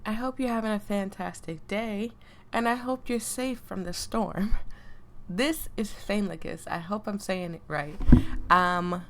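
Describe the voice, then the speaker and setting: A woman with a deep voice that sounds sick, chatting casually and reading game text aloud as a let's play host, easily amused and stumbling over words. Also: unhurried, fairly bright tone, slight background noise. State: sick, voice affected.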